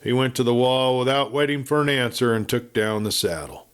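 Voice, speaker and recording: A man narrating a Western audiobook as a dramatic storyteller, with measured, theatrical phrasing. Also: mid-range voice, smooth.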